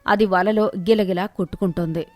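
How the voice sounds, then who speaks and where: Woman telling a scripted moral story, middle pitch, really dry close recording. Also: fairly even intonation, normal speed, darkish tone, dry recording, soft background music. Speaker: narrator.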